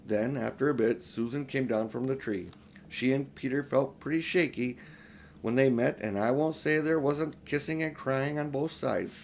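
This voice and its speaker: A man's voice: mid-range voice, moderate pace, slight background noise. Older man reading a storybook aloud. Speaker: amateur audiobook reader. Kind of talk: storybook narration.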